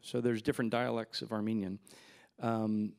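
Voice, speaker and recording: Forties guy with a raspy gravelly voice, chatty rambling festival q&a story, holding a handheld mic. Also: fairly low pitch, moderate pace. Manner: relaxed, rambling anecdotes with fillers and laughs. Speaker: filmmaker guest. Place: festival stage in front of a backdrop.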